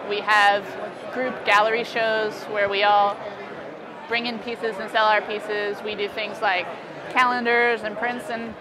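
A young American woman talking casually and informally as an artist being interviewed at an expo booth. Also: mid-range voice. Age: young adult. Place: indoor convention expo booth. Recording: busy crowd noise around the voice. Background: convention hall crowd chatter.

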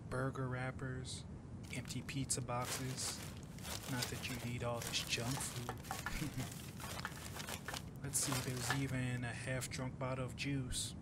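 A man voicing a harsh, domineering, mocking woman in an ASMR roleplay, swearing scornfully with drawn-out emphasis. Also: monotone, lightly acted. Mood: scornful, incredulous. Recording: loud buzzing insect noise, low rumble. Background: buzzing flies sound effect.

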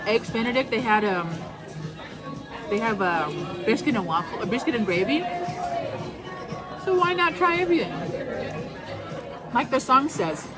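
A woman's voice: a little high, animated, unhurried, pauses often. A woman in her forties chatting playfully on a food vlog, laughing often as she talks to costumed characters. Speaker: food vlogger. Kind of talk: casual restaurant vlog chat. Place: busy indoor buffet restaurant. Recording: loud music and crowd behind.

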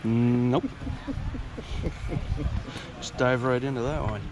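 Playful man vlogging in loud outdoor noise. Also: mid-range voice, steady tone, drawn-out words. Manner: playful, teasing. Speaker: vlog host, auction reseller. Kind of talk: casual chat, joking remarks to companions and camera. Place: outdoors at an estate auction. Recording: noisy outdoor handheld, traffic noise.

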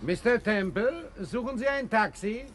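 High-voiced formal doctor. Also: male voice, animated, a little slow, noisy background. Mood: polite. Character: polite, formal male doctor. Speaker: radio drama actor. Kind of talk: German radio crime drama acting.

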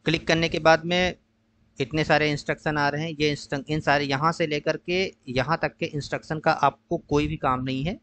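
A man patiently walking viewers through an online counselling process in a screen-share tutorial, pausing often with "uh". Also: a little high, steady tone, fairly bright tone. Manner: patient, explanatory, mixes English phrases with frequent "uh" pauses. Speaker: tutor explaining a college allotment process.

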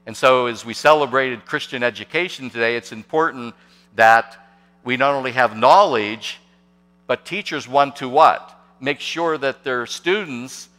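Older pastor preaching in a reverberant church. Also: male voice, mid-range voice, measured pace, a bit bright. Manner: hesitant, many fillers and restarts, asks the congregation questions. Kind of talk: sermon. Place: church sanctuary, large and indoors. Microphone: podium microphone on a gooseneck.

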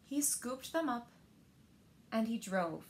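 Slow-paced, higher-voiced woman reading a storybook. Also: lively intonation, slight background noise. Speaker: library storyteller. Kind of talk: reading a picture book aloud to children. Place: indoors, small living room by windows.

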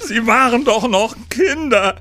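A man's voice: very high voice, expressive, measured pace, pushed and bright, a little hiss or hum, full character voice. An actor playing an anguished senior police detective and father in an audio drama, agitated and emotional. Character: anguished male senior detective, desperate, vengeful family father.

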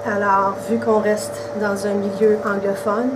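A woman in her forties from Quebec with a Québécois French accent, answering interview questions in a relaxed, casual way. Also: mid-range voice, unhurried. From Quebec, Canada. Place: indoors by a brick wall. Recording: distant camera mic with background hiss.

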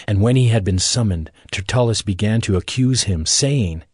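A man's voice: lowish voice, moderate pace, darkish tone, slightly rough, no room sound, studio quality. A man narrating dramatized scripture as a scripted reading.

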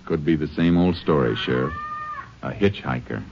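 Very deep, terse Texas Ranger. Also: male voice, moderate pace. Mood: businesslike. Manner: clipped, matter-of-fact, terse. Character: calm, determined Texas Ranger investigator and narrator. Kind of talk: 1950 radio crime western acting and narration. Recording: muffled, noisy old 1950 radio recording.

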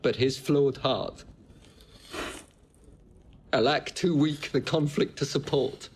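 An actor playing a noble, sorrowful wronged son, measured and solemn, in a classical Shakespearean audio drama. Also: male voice, medium pitch, breathy edge.

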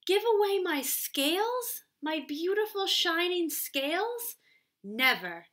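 A woman puts on a vain rainbow fish from a picture book. He is dramatic and reluctant to share, with a high, bright voice and drawn-out words. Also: big pitch swings, full character voice. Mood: indignant.

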